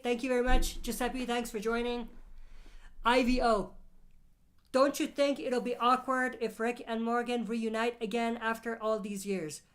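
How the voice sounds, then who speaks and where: A woman hosting a casual live Q&A stream, reading out viewer questions and answering them, her voice a little off from a cold. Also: a little high. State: has a cold. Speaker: livestream host.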